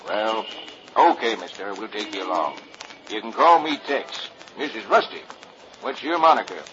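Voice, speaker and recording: A man's voice: fairly low pitch, animated, normal speed, bright, forceful tone, lo-fi sound, slight background noise, voice pushed down. A man voicing a folksy grown cowboy truck driver with drawling slang, on an old radio recording. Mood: friendly.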